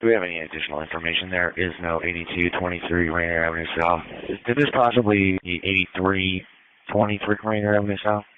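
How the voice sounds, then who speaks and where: A man's voice: sits low, fairly even intonation, quick pace, clean tone, no echo, narrow, tinny audio. A man speaking in clipped, procedural phrases over a two-way emergency radio, like scanner traffic. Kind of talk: terse police and fire radio traffic.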